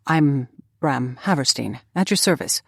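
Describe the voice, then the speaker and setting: A woman reading as a polite gentleman in a storybook, courteous and slightly flustered. The voice sits low and dry. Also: lively intonation, unhurried, creaky, no room sound, full character voice. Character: polite, apologetic young male gentleman.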